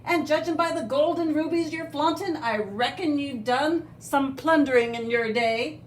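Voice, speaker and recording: A woman voicing a gruff, demanding male pirate captain with a stage pirate accent, bellowing theatrically in a storybook. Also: sits high, a little hiss or hum, voice pushed up, close to an everyday voice. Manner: bellowing, theatrical, drawn-out words.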